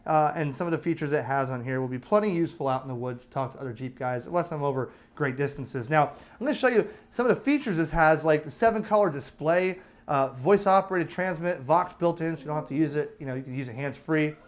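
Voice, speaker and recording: An American man with a slightly higher-pitched voice talks through a product review in a casual, unscripted way. He is chatty and explanatory, pausing to check that the listener is following along.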